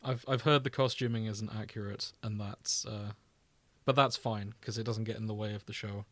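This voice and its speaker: A man's voice: fairly low pitch, steady tone, normal speed, a bit bright, no echo. A man co-hosting a comedic podcast, chatting casually and bantering with playful mock indignation. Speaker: podcast co-host. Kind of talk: casual comedic chat, reading out fan fiction tags.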